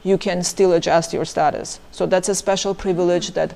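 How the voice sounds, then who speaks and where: A woman's voice: on the low side, fairly even intonation, a little fast, creaky. A middle-aged woman with an Armenian accent, an immigration lawyer chatting in an interview, full of fillers like "you know" and "like". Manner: many fillers, chatty and warm about family. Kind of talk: casual interview chat.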